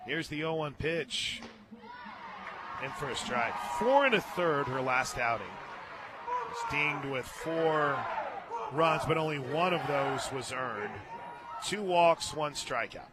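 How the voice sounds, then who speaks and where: An American man giving live radio play-by-play of a softball game, conversational, with clipped calls of each play. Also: a little high, sing-song, slow pace, a loud crowd. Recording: very noisy outdoor ballpark booth.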